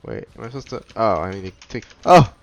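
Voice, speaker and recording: Laid-back, lowish-voiced man commenting on gameplay. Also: sing-song, fast talker. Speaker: gaming commentator. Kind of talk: casual playthrough commentary. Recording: faint noise, keyboard and mouse clicks.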